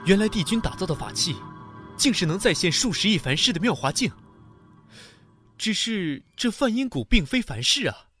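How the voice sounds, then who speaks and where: A man acting a deferential, curious immortal gentleman in a fantasy audio drama. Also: sits high, very animated, moderate pace, faint music behind. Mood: astonished.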